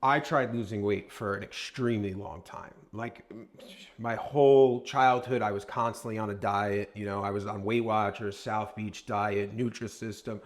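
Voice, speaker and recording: A man's voice: on the low side, fairly even intonation, moderate pace. A man co-hosting a podcast, speaking in a casual, conversational style. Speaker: podcast co-host.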